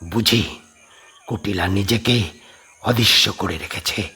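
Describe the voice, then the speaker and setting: A man voicing a grave, mysterious spiritual adept in a horror audio drama, solemn and deliberate with pauses. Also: fairly low pitch, sharp tone, husky, exaggerated voice.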